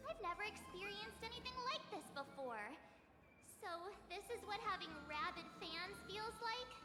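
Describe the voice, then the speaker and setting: A woman's voice: extremely high pitch, animated, moderate pace, echoey room, over loud music. A woman playing a curious, easily amazed young girl traveler in an anime game.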